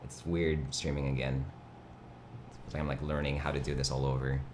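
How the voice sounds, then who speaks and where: A young man whose first language is Mandarin, chatting casually on a livestream with a nasal, vocal-fry voice and a laid-back, rambling manner. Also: extremely low pitch, little pitch movement, normal speed, background noise. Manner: laid-back, rambling, reads chat aloud. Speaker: livestream host. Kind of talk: casual chat.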